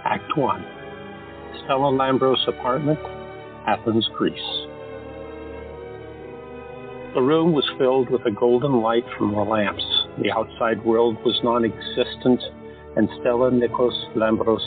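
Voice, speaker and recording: A man narrating an audio drama in a measured storytelling delivery. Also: mid-range voice. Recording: tinny phone-like sound with faint music.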